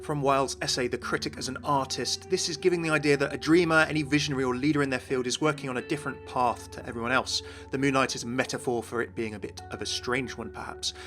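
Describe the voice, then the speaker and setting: A man's voice: medium pitch, steady tone, fast talker, few pauses, a bit bright. A man presenting game lore as an enthusiastic scripted video narration. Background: music.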